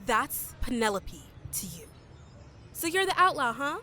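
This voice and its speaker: A woman's voice: sits high, very animated, measured pace, slight background noise, full character voice. A woman playing a stern, scolding leader. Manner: sharp, scolding, commanding. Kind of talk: audio drama acting.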